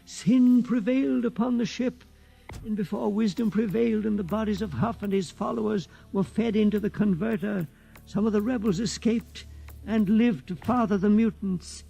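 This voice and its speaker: Pompous old holy man, high raspy voice, old-time radio drama, preaching, fearful zeal, music behind. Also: normal speed, mellow, exaggerated voice, low-quality recording. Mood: solemn. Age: older. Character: frightened, zealous old religious elder guarding doctrine.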